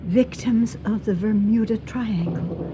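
A woman plays an elderly wife in a radio drama, earnest and puzzled, with a questioning delivery and a middling pitch. The sound is dull and noisy. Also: slow pace, close to an everyday voice. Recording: dull radio recording with noisy storm ambience.

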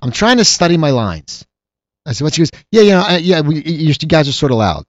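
A man's voice: a little high, fairly quick, no echo, muffled recording. A man hosting a casual talk interview, joking and rambling. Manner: jokey, rambling, animated. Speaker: talk show host.

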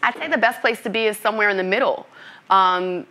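A middle-aged woman from Brooklyn, a coach being interviewed, speaking in a thoughtful, measured way. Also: medium pitch, pushed and bright, low-quality recording. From Brooklyn, New York. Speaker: coach and author. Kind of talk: interview conversation.

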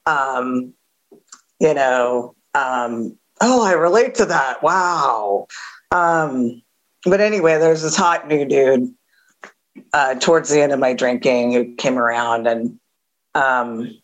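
A woman with a gravelly voice giving a candid recovery share, halting with many fillers and a wry edge. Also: very deep voice, rough recording. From Southern California. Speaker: AA speaker.

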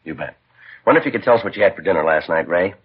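An actor playing a stoic, courteous police detective sergeant in a 1950s radio crime drama, deadpan and clipped. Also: male voice, deep voice, very fast, breathy edge, no echo. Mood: easy, patient. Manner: deadpan, clipped, matter-of-fact. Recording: dull lo-fi 1950s radio recording.

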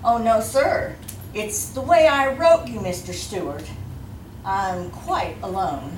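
A woman's voice: medium pitch, a little hiss or hum, close to an everyday voice. An actress playing a determined, anxious young woman in an old-time radio drama, speaking earnestly and pointedly. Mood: guarded, polite. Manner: deliberate, earnest, pointed questioning. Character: determined, anxious young woman seeking her missing sister. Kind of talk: old-time radio acting before a live audience. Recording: echoey live stage, distant mic, faint music.

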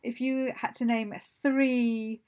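A woman's voice: on the high side, little pitch movement, slow pace, a little mellow. A woman hosting an interview, encouraging and laughing often, who says she is losing her voice. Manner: encouraging, laughs often, short agreeing replies. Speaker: interviewer, host. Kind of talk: casual interview.

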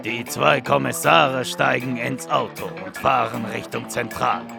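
A man reading scripted narration for a crime audio drama in a creaky voice. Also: mid-range voice, fairly even intonation, unhurried, over loud music.

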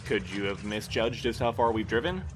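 A man's voice: fairly low pitch, normal speed, slight background noise, close to an everyday voice. An actor playing a fussy, rule-bound male postal supervisor in an audio drama, speaking in formal, precise phrases with a fretful air. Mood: bewildered, insistent. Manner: formal, prim, precise wording. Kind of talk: full-cast audio drama dialogue.

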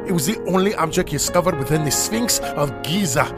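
A man plays a flamboyant, wealthy Chilean collector in a noir audio comedy. He is pompous and wordy, with a highish voice that swoops theatrically. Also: lively intonation, brisk, music in the background, lightly acted. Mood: proud, earnest. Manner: florid, theatrical, loquacious. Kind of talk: comic noir audio drama acting.

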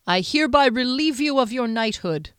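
Woman narrator playing a stern middle-aged duke passing judgment; cold, measured, louder and higher than her narration, dry. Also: expressive, smooth, dry recording, lightly acted. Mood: formal judgment. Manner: measured, formal, icy delivery.

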